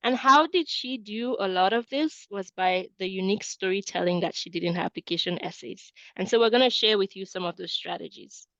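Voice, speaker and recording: A woman's voice: mid-range voice, brisk, few pauses, a bit bright, no echo, highs cut off. A woman in her thirties from Cameroon, presenting a webinar as an admissions coach and often adding "right?" to her points. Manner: peppers points with tag question "right?".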